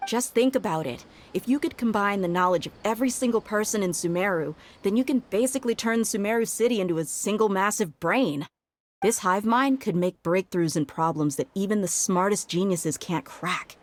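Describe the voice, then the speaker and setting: A woman's voice: mid-range voice, lively intonation, moderate pace, close to an everyday voice. An actress playing a sceptical, defiant young woman with a blunt, wry manner in a video game. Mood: reasoning. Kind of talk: English video game voice acting.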